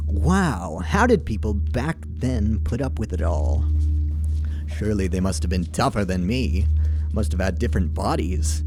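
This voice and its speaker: A man voicing a jaded, cynical male police officer who talks with wry, dark sarcasm. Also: fairly low pitch. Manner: wry, darkly sarcastic storytelling.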